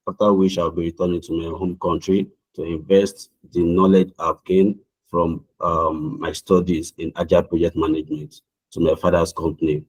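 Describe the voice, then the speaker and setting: A man's voice: low voice, breathy edge, poor audio. A young man from Nigeria with a Nigerian accent, answering mock visa interview questions over a video call in an earnest, formal way, often restarting his words.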